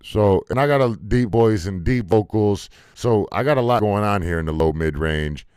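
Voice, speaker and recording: Deep-voiced man rambling through a mixing tutorial. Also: normal speed, a little mellow, smooth, no room sound, clean studio sound. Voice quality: deep voice, muffled by a faulty mic input. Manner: rambling, loose, with fillers and mild swearing. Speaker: music producer teaching mixing. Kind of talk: casual screen-recorded tutorial. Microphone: desktop mic into recording software.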